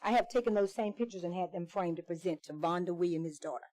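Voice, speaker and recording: Woman, committee spokesperson, informal speech, soft mellow tone, slightly low pitch, repetitive phrasing. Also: quick pace. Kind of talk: informal public introduction speech.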